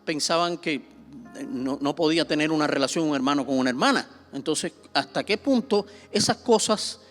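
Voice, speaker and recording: Middle-aged Cuban male pastor, slightly high voice, chatty teaching with fillers, faint music underneath. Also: normal speed, fairly bright tone. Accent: Cuban Spanish. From Cuba. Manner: conversational, with many fillers and repetitions; rises sharply when stressing a point. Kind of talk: Bible seminar teaching.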